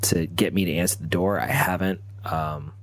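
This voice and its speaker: A man with a low voice plays a tabletop role-playing game, telling his character's story in the first person. He feels his way haltingly, doubling back on words. The sound is dry, with very little room to it. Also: fairly even intonation, no echo. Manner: halting, often repeating words.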